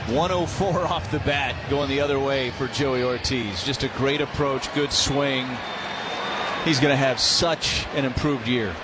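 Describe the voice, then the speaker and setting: Male baseball analyst over clear background noise. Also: mid-range voice, measured pace. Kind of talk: conversational radio sports commentary.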